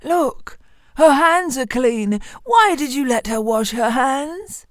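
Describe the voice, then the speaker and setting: A woman's voice: sits high, lively intonation, slow, no room sound, studio quality, voice pushed up. A woman voicing an angry, demanding male devil in a fairy tale, scolding and commanding. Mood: scolding, furious.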